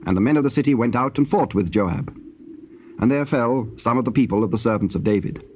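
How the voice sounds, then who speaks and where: A man with a British accent narrating scripture in a solemn, measured storytelling style. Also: mid-range voice, darkish tone. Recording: dull, hissy old cassette tape.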